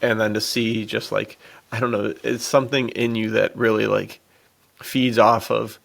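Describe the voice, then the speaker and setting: A man's voice: lowish voice, flat delivery, normal speed, gravelly, fairly bright tone. A man co-hosting a podcast, talking casually about films in loose, slangy language. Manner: informal slang, dude-heavy. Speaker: podcast co-host.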